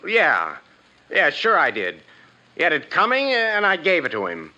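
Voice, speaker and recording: An actor playing a brash, defiant young medical student in a 1950s radio drama, talking in a slangy way. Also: male voice, fairly high pitch, huge pitch swings, a little slow, sharp tone, exaggerated voice. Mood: cocky, defiant. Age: young adult. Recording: muffled vintage radio recording.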